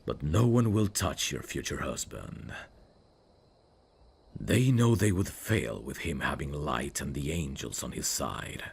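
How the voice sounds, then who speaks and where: A man playing a brooding evil prince, tender and sorrowfully noble, speaking in a slow, formal, wistful way for an intimate ASMR fantasy roleplay. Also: a bass voice, animated, vocal fry, exaggerated voice. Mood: resigned, grave.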